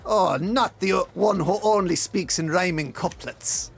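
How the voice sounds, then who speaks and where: Guy doing stuffy clueless middle aged detective, high creaky voice, hammy audio comedy. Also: a little slow, soft background music, full character voice. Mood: appalled. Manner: pompous, theatrical, bewildered. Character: pompous, technophobic middle-aged male police detective inspector. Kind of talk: comic audio drama acting.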